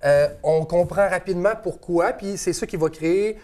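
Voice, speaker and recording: A man's voice: high-pitched, a little mellow. A man from Saguenay with a Québécois accent, a golf instructor explaining technique step by step and checking in with "ok?". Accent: Québécois French. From Saguenay, Quebec. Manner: quick, coaching with frequent "ok?" check-ins. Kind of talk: instructional demonstration.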